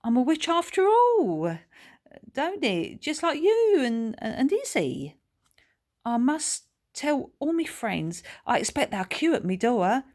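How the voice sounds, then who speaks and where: A woman voicing an excitable, gushing mother who fancies herself a sorceress, with colloquial, ungrammatical speech, in a children's storybook. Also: high-pitched, slow, voice pushed up, exaggerated voice. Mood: excited.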